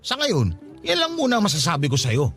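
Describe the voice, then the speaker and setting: A secretive office gossip, lively swooping voice, hushed and teasing, radio drama, music underneath. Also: male voice, a little high, a little fast, heavily acted. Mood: sly. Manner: conspiratorial, teasing.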